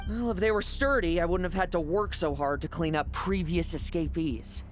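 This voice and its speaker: An actor playing a cocky young knight vice captain, teasing and theatrical, in an anime-style game. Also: male voice, high voice, moderate pace, close to an everyday voice. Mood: breezy confidence. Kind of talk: dramatic anime game voice acting. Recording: game audio with music bed.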